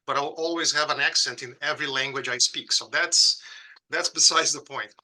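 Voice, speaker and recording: A man's voice: a little high, fairly even intonation, normal speed, sharp tone, low-quality recording. A man in his forties from Brazil with a Brazilian Portuguese accent, speaking reflectively as an interview guest with frequent fillers and restarts. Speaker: guest, pediatric critical care physician. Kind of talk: casual interview conversation.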